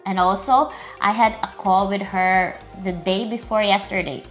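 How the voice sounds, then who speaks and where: A woman's voice: mid-range voice, fairly even intonation, moderate pace. A young woman presenting to camera on a lapel mic in a small living room, explaining plainly and earnestly in simple English. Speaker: host and language teacher. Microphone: clip-on lapel mic. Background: music.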